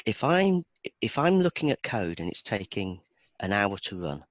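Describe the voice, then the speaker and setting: Low-pitched Birmingham man, dull lo-fi sound. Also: big pitch swings, normal speed, dry recording. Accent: Birmingham English. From Birmingham, England. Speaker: interview guest, database performance expert. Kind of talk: casual interview chat.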